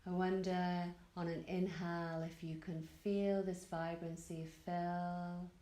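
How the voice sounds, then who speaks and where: A woman yoga teacher leading a guided meditation in a gentle, soothing manner, leaving long pauses between phrases. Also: fairly low pitch, level tone, roomy sound. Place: indoor room at a retreat.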